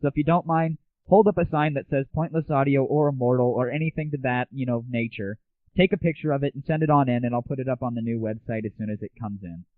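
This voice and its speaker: A man's voice: quick pace, dry recording. American man, tinny lo-fi recording. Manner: irreverent, slangy, swearing. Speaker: host of an audio show. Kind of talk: casual opinionated monologue. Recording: muffled, tinny low-fidelity 1990s internet audio.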